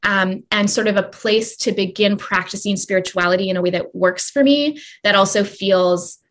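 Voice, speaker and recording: A woman's voice: medium pitch, moderate pace, fairly bright tone. A woman from Canada, speaking as an interview guest in a long, reflective way with many fillers and restarts. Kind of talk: conversational interview.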